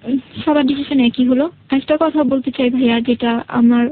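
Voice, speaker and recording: High-pitched Bangladeshi woman telling her story. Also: steady tone, normal speed, phone-quality sound, a little hiss or hum. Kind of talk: personal storytelling in a radio interview. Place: radio studio.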